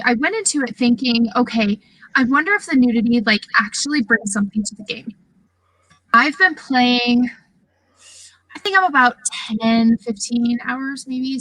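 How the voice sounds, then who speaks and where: Bright-voiced woman chatting casually, fairly high. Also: no echo, poor audio. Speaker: podcast panelist. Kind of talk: casual chat about video games.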